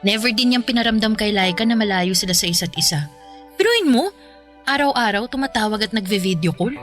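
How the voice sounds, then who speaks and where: Actress doing a young filipino girlfriend in a radio drama, chatty n candid, bright voice, soft music under. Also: medium pitch, animated, brisk, lightly acted. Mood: chatty, admiring. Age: young adult.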